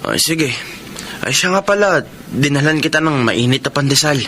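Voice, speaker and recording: An actor playing a sly, lecherous adult son in a Tagalog radio melodrama, with an insinuating manner. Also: male voice, a little high, lively intonation, normal speed, sharp tone, slight background noise. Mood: ingratiating.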